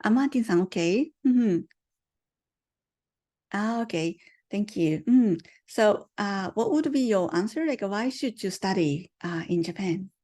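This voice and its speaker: A woman's voice: medium pitch, lively intonation, unhurried, dark tone. Hesitant woman presenting on a video call. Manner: hesitant, many fillers, asks the audience questions. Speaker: online session presenter. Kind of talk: presenting to an online audience.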